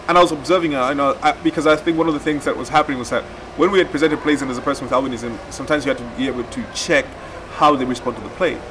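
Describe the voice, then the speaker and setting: A man, explaining a project in a documentary interview outdoors, with deliberate, halting phrasing. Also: mid-range voice, noisy background. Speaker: theatre project leader. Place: outdoors in a leafy courtyard.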